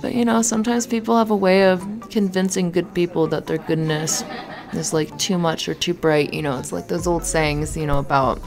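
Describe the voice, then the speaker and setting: A woman playing a cute, flustered, flirty barista in an ASMR roleplay, kind and giggly, with halting, rambling talk. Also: fairly low pitch, fairly even intonation, close to an everyday voice. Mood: encouraging, playful. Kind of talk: ASMR roleplay, conversational acting. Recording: music under the voice. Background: music.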